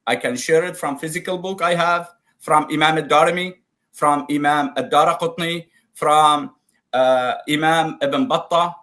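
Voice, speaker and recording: A man whose first language is Arabic, speaking broken English on a video call, explaining word meanings slowly through examples in a live debate. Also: a little high, fairly even intonation, sharp tone. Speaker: debate guest.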